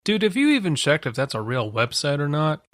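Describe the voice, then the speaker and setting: A man's voice: on the high side, very animated, brisk, a bit bright, a little hiss or hum. A man co-hosting a podcast in casual banter, teasing with mock-formal wording. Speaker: podcast co-host.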